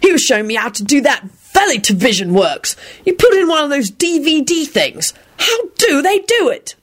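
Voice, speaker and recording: A woman's voice: medium pitch, sing-song, a little slow, pitched above the performer's own voice. A woman voicing an enthusiastic, fussy middle-aged wizard man in an audiobook-style story. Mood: excited. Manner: exclaiming, enthusiastic, unrepentant.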